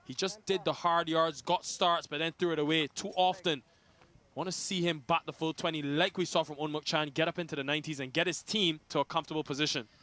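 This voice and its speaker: A man's voice: fairly high pitch, fairly even intonation, a little fast, pushed and bright. A man calling a cricket match as play-by-play commentator, rising with the big hits.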